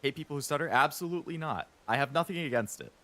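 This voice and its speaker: A man streaming a game, chatting casually and rambling a bit, stuttering now and then when he talks fast. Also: a little high, lively intonation, a bit bright. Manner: rambling, chatty, lots of fillers.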